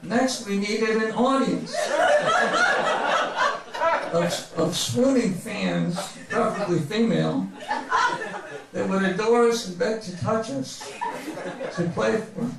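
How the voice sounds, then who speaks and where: High-pitched, creaky man in echoey room. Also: slow pace, long pauses, heavy background noise. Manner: mock-grandiose comic storytelling. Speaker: author reading from autobiography. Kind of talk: humorous memoir reading to a live audience. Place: bookstore reading event before an audience. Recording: very echoey room, distant mic.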